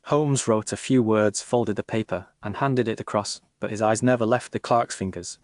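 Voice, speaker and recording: A man reading a Victorian detective story in a measured, formal, literary manner. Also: fairly low pitch, a little mellow, no echo, studio quality. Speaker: narrator.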